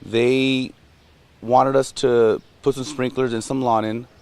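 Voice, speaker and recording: A man's voice: medium pitch, level tone, slow pace, a little mellow. A man, a tradesman, giving terse unscripted answers to questions. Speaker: tree service contractor.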